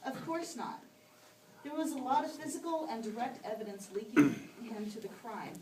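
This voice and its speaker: A worldly-wise puppet ghost, acted by a woman lectures with weary cynicism. The voice is fairly high and comes from some distance in a reverberant room. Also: expressive, normal speed, very noisy, natural voice. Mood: knowing, resigned. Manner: lecturing, explanatory. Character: matter-of-fact streetwise ghost, knowing and cynical friend.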